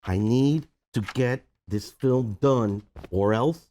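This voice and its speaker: A man's voice: mid-range voice, slow, warm tone, a little hiss or hum, lightly acted. A man playing a pushy, pleading film producer worried about money, in a comedy table read. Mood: urgent, pleading.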